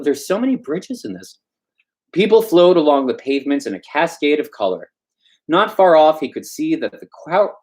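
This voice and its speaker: A man reads a classic-style tale aloud in a mid-pitched, very animated voice. His delivery is informal and loose, with stumbles and asides to the listener. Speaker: storyteller, show host. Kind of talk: informal story reading.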